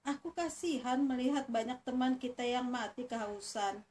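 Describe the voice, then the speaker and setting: A scheming little turtle from a children's fable, as a woman storyteller puts it on. The voice is high and persuasive, full of false cheer. It sounds set back from the mic with faint noise. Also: moderate pace, a little mellow, distant mic, close to an everyday voice. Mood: coaxing. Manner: coaxing, exclamatory, persuasive.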